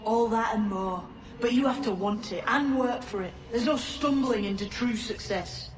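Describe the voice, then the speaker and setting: A woman's voice: fairly high pitch, normal speed, distant mic, lightly acted. An actress playing a tough, blunt female pirate with a coarse manner. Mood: brusque. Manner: brusque, coarse. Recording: echoey, from afar, faint noise.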